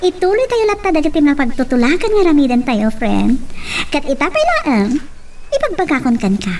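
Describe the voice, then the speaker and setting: High, animated Ilocano woman, a scheming schemer acted. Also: moderate pace. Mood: conspiratorial, lively. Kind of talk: amateur Ilocano radio-style drama acting. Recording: distant mic with faint noise.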